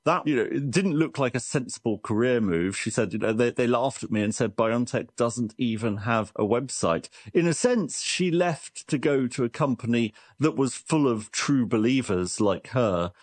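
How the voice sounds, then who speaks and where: A man's voice: medium pitch, normal speed, few pauses, no echo. A man reporter explaining a science story in a conversational, storytelling way. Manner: lively storytelling with restarts.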